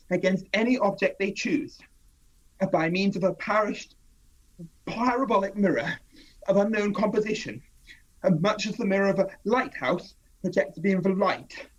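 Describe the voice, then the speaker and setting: A man playing a cautious scientist professor who speaks slowly and deliberately, in a 1930s-style radio drama. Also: high-pitched, highs cut off. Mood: measured. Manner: slow, deliberate, weighing each word.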